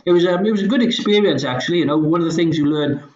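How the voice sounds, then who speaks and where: A middle-aged man with a soft, anglicised Welsh accent, talking casually as a podcast guest in hesitant, stop-start phrases. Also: on the high side, rapid-fire, crowd noise. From Wales, Swansea Valley. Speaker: podcast guest, company founder. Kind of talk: casual conversational talk. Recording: roomy echo, slightly dull sound.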